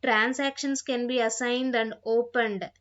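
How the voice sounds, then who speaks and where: A woman giving an instructional software tutorial, reading out points and repeating phrases as she explains them, on a dull, lo-fi microphone. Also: a little high, steady tone, unhurried. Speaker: software trainer.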